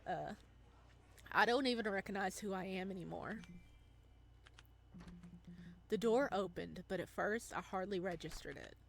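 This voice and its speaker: A woman's voice: mid-range voice, a little slow, vocal fry, long pauses, fairly bright tone. Woman reading as a weary, self-doubting young man. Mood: awed, nervous. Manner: introspective, hesitant narration.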